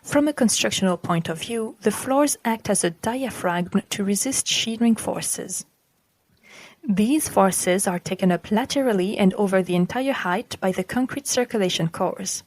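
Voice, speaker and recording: A woman narrates from a script as a podcast presenter. Her pitch sits in the middle range and her delivery is formal and measured. The sound is dry and close, with very little room. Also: no echo. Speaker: podcast presenter reading a text.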